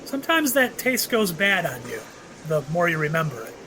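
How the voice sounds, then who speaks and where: A mysterious male shopkeeper, played by an actor in an audio drama, with a high, very lively voice. He is courteous and apologetic, hesitating as he offers cryptic remarks, over clear background noise. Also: moderate pace. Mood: genial, wry.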